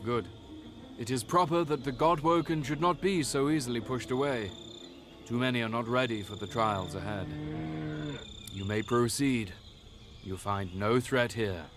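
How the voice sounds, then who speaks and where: Guy acting as a serious tired elf knight from a fantasy game, formal and slow to warm, bit of music behind. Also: mid-range voice, lightly acted. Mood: grave. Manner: solemn, measured, formal. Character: weary, grave elf knight, former chosen champion.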